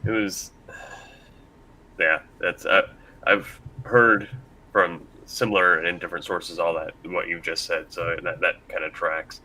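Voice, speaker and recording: A man's voice: low-pitched, steady tone, unhurried, pushed and bright, rough recording. A man hosting a casual panel discussion, talking informally before a live room. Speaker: panel host.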